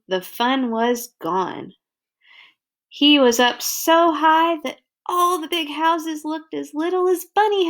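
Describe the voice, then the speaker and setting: A woman reads a story aloud for children. Her voice is fairly high and her pace very slow. She narrates expressively, placing deliberate, dramatic emphasis on phrases.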